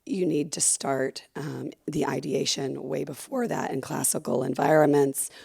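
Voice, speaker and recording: A woman giving a conference talk while losing her voice, hoarse and gravelly, with many fillers and restarts, speaking into a handheld microphone. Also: fairly even intonation, a little slow, darkish tone. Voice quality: gravelly, low. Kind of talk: conference presentation. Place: conference room with audience.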